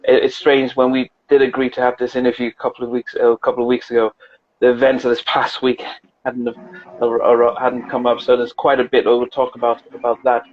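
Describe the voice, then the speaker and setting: A man hosting an interview chat, talking in a halting way with many restarts. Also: medium pitch, monotone, muffled recording. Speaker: interview host.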